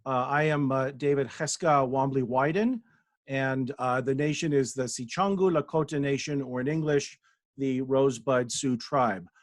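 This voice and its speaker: A man's voice: mid-range voice, normal speed, no room sound, clean studio sound. A man from Denver giving a humble, self-deprecating talk as a guest author over a video call, with many fillers and restarts. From Denver, USA. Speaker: guest speaker, author and professor.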